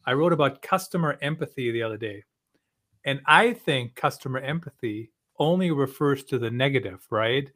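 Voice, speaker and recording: Male podcast host, bright forward tone, conversational. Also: medium pitch, lively intonation, normal speed. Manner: conversational, asks with "right?". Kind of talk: casual interview.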